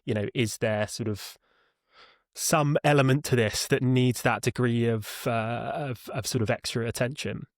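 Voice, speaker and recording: A man's voice: medium pitch, steady tone, a little slow, dry recording, studio quality. A man speaking as an interview guest, walking through how his support team works in a hesitant, explanatory, conversational way. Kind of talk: conversational product walkthrough in an interview.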